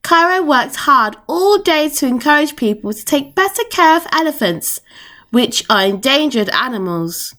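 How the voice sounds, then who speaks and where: A woman reading a children's picture book aloud in an expressive storytelling manner. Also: high voice, moderate pace. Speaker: storytime reader.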